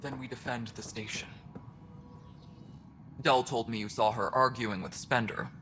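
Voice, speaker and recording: Game station superintendent: pragmatic, exasperated, medium pitch, faint ambience. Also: male voice, fairly even intonation, measured pace, bright, forceful tone, slight background noise. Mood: frustrated. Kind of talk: video game dialogue acting.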